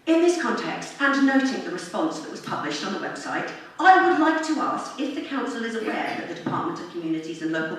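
A middle-aged woman reads a prepared public address in an earnest, formal, articulate way. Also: a little high. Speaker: member of the public addressing a council. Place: council meeting chamber. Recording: very echoey room, distant mic, faint noise.

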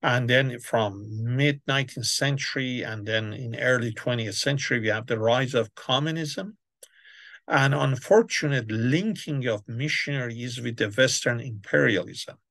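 Give me a man lecturing on a video call with a rough, gravelly voice and halting speech. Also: mid-range voice, fairly bright tone, no echo. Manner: hesitant, many fillers and restarts. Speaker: church history lecturer. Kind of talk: online lecture over slides. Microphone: webcam on a video call.

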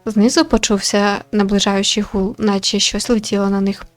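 A woman reading a visual novel aloud as host, with expressive storytelling and casual commentary. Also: mid-range voice, moderate pace. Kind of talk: narrated read-through with casual commentary. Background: game music.

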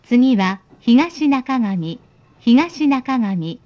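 A woman with a fairly high voice reads recorded train announcements. She is formal and polite, enunciating each phrase. The audio is a little dull and lo-fi. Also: expressive, extremely slow, mellow.

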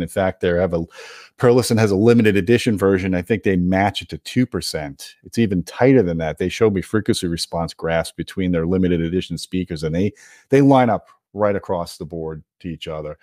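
A man with a fairly low voice hosts a gear explainer, recorded dry with very little room sound. He talks straight to viewers in a conversational, opinionated way, leaning on his key points. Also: a little mellow, no room sound. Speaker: host of an audio gear video channel. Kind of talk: explanatory product commentary to viewers.

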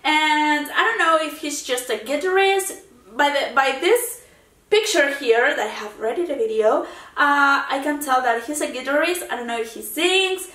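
A woman's voice: high voice, animated, moderate pace, pushed and bright, reverberant, far from the mic. A woman, talking casually to camera as a channel host, full of fillers and easy laughter. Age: 20s. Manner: chatty, lots of fillers and restarts, laughs easily. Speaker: YouTube reaction host. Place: indoor room in front of a framed seascape painting.

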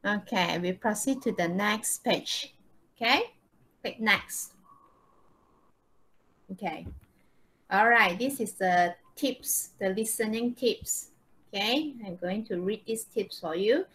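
A woman's voice: medium pitch, slow, long pauses, fairly bright tone, breathy edge, low-quality recording. A woman with a Malaysian accent teaching a live online lesson, often checking in with okay and right. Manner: frequent checking questions, tag words like okay and right. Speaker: English teacher. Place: indoors, online video call.

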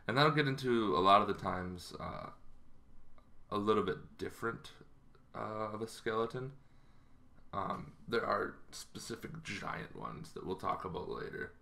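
A man in his thirties with a low-pitched voice talks through his material like a hobby vlogger at home. His delivery is casual and conversational, a little halting as he works through each point. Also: a bit bright. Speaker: tabletop gaming video host. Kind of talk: casual explanatory vlog. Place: small bedroom at home, indoors.

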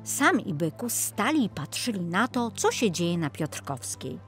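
Animated woman storyteller, low and unhurried. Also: slightly rough, soft background music. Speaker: audiobook narrator. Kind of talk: expressive scripted children's storytelling.

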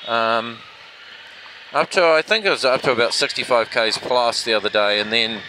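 A man's voice: mid-range voice, moderate pace. A man chatting casually as a motovlogger, rambling and self-deprecating, talking inside a helmet while riding. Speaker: motovlogger reviewing action cameras. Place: outdoors on a motorbike, inside a helmet. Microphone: helmet microphone with foam fluffy. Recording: noisy helmet mic, vehicle and wind noise.

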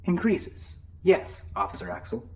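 A man's voice: fairly high pitch, very animated, a little slow, a little mellow, muffled recording. A man voicing a formal, pedantic shipboard computer in a science-fiction audio drama, precise, wordy and officious, through a computer voice filter. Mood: officious, matter-of-fact.

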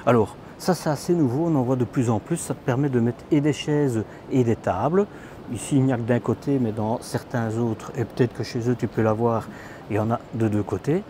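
A man's voice: medium pitch, fairly quick, noisy background. A man giving a chatty, informal walkthrough of camper vans at a trade show, filmed on a handheld phone. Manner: chatty, informal, addresses viewer as tu, many fillers. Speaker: camper van vlogger. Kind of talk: casual walkthrough commentary. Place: large indoor trade show hall, inside vans.

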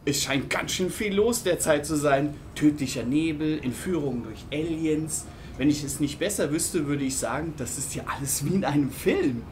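A man's voice: a little high, normal speed, slight background noise, natural voice. A man playing a nerdy, naively enthusiastic sci-fi film fan in an amateur audio drama. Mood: eager. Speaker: podcast co-host.

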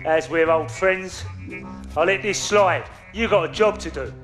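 A man's voice: high voice, measured pace, fairly bright tone. A man playing a volatile, sadistic London gangster in a video game, taunting and bullying in a London accent. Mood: menacing orders. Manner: taunting, bullying, foul-mouthed. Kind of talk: video game cutscene acting. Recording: music behind the voice.

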